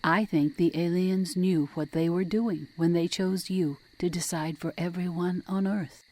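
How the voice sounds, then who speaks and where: Woman acting a low-voiced, reassuring, supportive wife. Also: steady tone, unhurried, warm tone, a little hiss or hum, natural voice, poor audio. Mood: reassuring, urging. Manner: reassuring, earnest. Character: supportive, hopeful wife, calm and gently persuasive. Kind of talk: audio drama acting.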